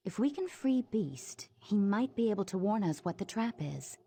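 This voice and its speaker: Level-headed hero lady, soft dark tone, bit husky, game cutscene. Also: medium pitch, sing-song, moderate pace, dry recording. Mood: concerned. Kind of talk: video game cutscene acting.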